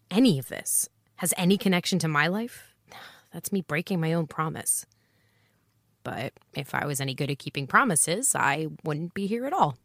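A woman's voice: sits low, no room sound, clean studio sound. A woman playing a wry, impulsive and jaded amateur private eye in a noir audio drama, with a sardonic, confiding and self-deprecating manner. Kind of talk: noir first-person audio drama narration and dialogue.

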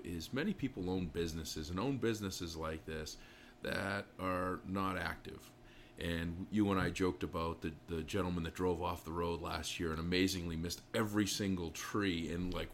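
A man interviewing in a casual, conversational way, full of effusive compliments. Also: deep voice. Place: small pub off an inn lobby. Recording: noticeable background noise.

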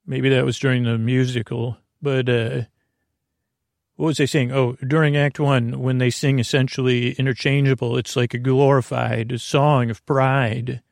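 A man hosting a sleep podcast, rambling through tangents in a low-key, unhurried way and breaking off his own sentences. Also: flat delivery, gravelly, dry recording, studio quality. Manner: meandering, self-interrupting, tangents. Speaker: podcast host. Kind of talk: rambling bedtime monologue.

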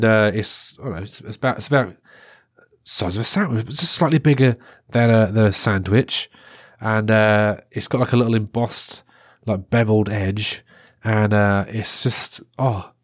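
A man's voice: fairly low pitch, dry recording, studio quality. A man in his thirties hosting a solo podcast, chatty and rambling, with a stuffy cold. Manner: rambling and chatty, many restarts and fillers. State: stuffy-nosed, congested. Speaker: podcast host.

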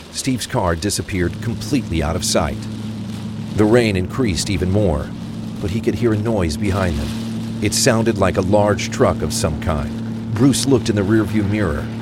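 A man reading scripted narration for a crime thriller audio drama. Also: sits low. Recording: faint rain and traffic ambience. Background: rain, vehicle sounds.

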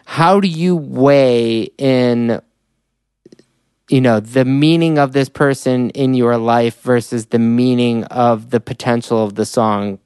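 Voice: medium pitch, slow pace, a little mellow, clean tone, no room sound, clean studio sound. A podcast host asking casual interview questions, thinking aloud and circling through fillers before landing the question.

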